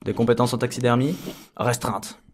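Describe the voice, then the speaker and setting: A man's voice: mid-range voice, flat delivery, normal speed, close to an everyday voice. A man playing a weary, sarcastic henchman, hesitant and exasperated, in a comedic audio drama. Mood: deadpan. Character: weary, sarcastic male henchman surveying his colleagues.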